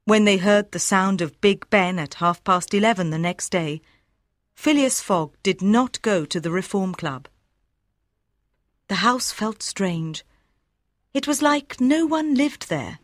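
A woman reading scripted story narration for learners in a measured, clearly enunciated way. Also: mid-range voice, expressive, dry recording, studio quality. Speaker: audiobook narrator.

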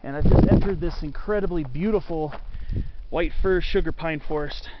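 A man from Southern California talks his way up a sunny mountain trail, naming trees and landmarks as he goes. His voice sits a bit high and sounds worn out from the heat. It's picked up by a body-worn camera, dull and lo-fi, with a clear rush of wind and outdoor noise behind him. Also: normal speed. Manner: rambling, informative, names plants and landmarks. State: tired from the heat. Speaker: hiking vlogger. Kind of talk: casual narration while hiking. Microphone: body-mounted action camera.

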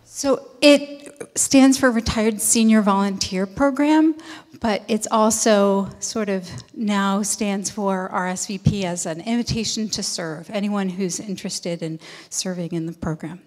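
A woman's voice: mid-range voice, measured pace. A woman in her forties reading a prepared statement into a handheld mic at a town meeting. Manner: steady, reads from notes. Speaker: nonprofit representative. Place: large meeting hall. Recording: long hall reverb.

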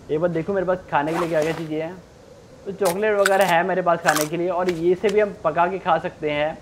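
A man's voice: fairly high pitch, a little hiss or hum. A young man giving casual let's play commentary, thinking aloud and repeating himself as he plays. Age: young adult. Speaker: gaming streamer.

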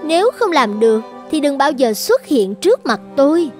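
A woman voicing a haughty, scornful young village chief's daughter in an animated fairy tale, with a disdainful, cutting delivery. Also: high-pitched, normal speed. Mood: haughty, challenging. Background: light background music.

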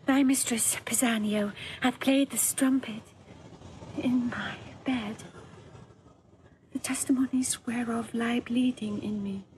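High-voiced, impassioned princess. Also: female voice, steady tone, slow pace, darkish tone, slight background noise. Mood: shaken, hushed reading. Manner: impassioned, declamatory. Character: anguished, proud young princess, wronged wife. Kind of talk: classical Shakespearean audio drama acting.

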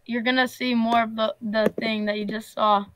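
A woman's voice: fairly high pitch, little pitch movement, normal speed, fairly bright tone. A young woman on a video chat, talking in a blunt, teasing and rude way. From United States.

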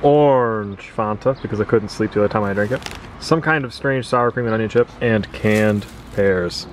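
A man's voice: lowish voice, normal speed, a little hiss or hum. A man in his thirties narrating a cycling-trip vlog in a dry, joking, easygoing way. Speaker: travel vlogger. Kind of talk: casual chat.

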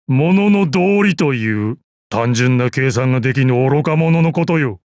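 A proud old fighting master from a video game, acted by a man, speaks in a medium-pitched voice with gruff dignity. His phrasing is archaic and dryly amused. The sound is dry, with no room around it. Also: expressive, measured pace, a little mellow, no room sound, natural voice. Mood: curt, scornful. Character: proud, battle-hardened old martial arts master assassin. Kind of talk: Japanese mobile game character voice lines.

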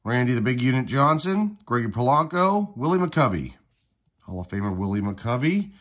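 A man's voice: medium pitch, normal speed. Congested man rattling off names. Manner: relaxed, rattles off player names. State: congested, getting over a cold. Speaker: card-collecting channel host. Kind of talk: casual pack-opening commentary. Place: indoors at a table.

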